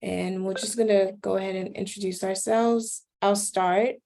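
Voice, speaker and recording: Mellow-voiced woman from Nigeria. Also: mid-range voice, steady tone, normal speed, gravelly, slight background noise. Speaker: session host, medical student. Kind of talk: online panel talk.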